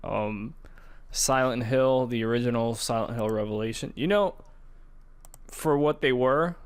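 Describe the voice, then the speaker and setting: A man's voice: medium pitch, measured pace, smooth. A man hosting a podcast, chatting casually and giving slangy, emphatic opinions. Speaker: podcast host. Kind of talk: casual chat about movies and games.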